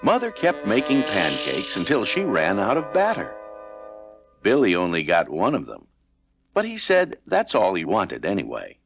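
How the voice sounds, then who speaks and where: A man's voice: lowish voice, wildly swooping pitch, moderate pace. A man narrating a children's read-along storybook in a scripted style. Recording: music playing behind narration.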